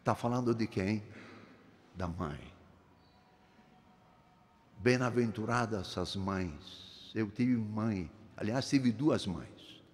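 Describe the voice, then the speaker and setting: A man in his seventies preaching a sermon in a church. He is emphatic, swinging from low, soft phrases to high, ringing exclamations, and speaks slowly and deliberately. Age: 70s. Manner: slow, deliberate delivery. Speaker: pastor. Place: church sanctuary. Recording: reverberant church hall.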